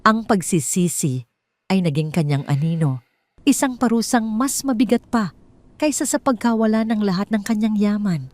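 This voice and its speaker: A woman with a Filipino accent reads a sentimental drama story as a storyteller, in a measured, solemn manner. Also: mid-range voice, expressive, darkish tone.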